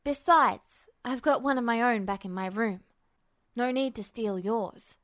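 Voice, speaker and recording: A woman's voice: a little high, measured pace, natural voice. A woman voicing a stubborn, proud young male patient in a story, curt and defensive.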